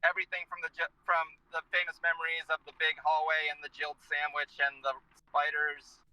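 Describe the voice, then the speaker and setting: A man's voice: fairly high pitch, completely flat delivery, fairly quick, bright, forceful tone, no echo, low-quality recording. A man hosting a casual talk show from inside his car, joking and rambling with a groggy voice after a day of work. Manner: joking, chatty, self-interrupting.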